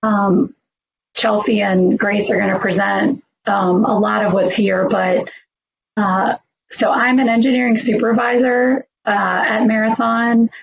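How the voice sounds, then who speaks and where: A hoarse woman, introducing herself casually as an engineering supervisor, with many pauses and ums. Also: mid-range voice, steady tone, dull audio. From New Orleans, United States. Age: 40s. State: hoarse, losing her voice. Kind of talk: recruiting presentation self-introduction.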